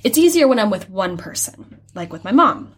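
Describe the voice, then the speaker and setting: An earnest young female student in an audio drama, with a slightly husky, breathy voice. She talks openly and chattily, rambling and hesitating as she searches for the right words. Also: medium pitch, sing-song, moderate pace. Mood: chatty, candid. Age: young adult. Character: earnest, chatty young art student who hears angels. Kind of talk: naturalistic audio drama acting.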